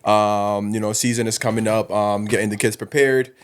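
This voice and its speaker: A man's voice: lowish voice, steady tone, moderate pace, clear, resonant tone. A man from South Sudan talking casually and informally as a podcast guest, with slang and frequent "you know". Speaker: guest, college basketball coach.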